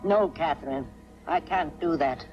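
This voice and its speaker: An actress playing a mousy older aunt who is a coldly menacing murderess, speaking deliberately in 1940s film style. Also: female voice, low voice, music in the background. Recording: dull 1940s film soundtrack from VHS. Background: prominent film score.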